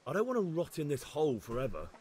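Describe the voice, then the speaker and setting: A man's voice: on the high side, wildly swooping pitch, fast talker, darkish tone, slight background noise. An actor playing a restless, earnest young village man eager for adventure in a medieval video game. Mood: earnest yearning.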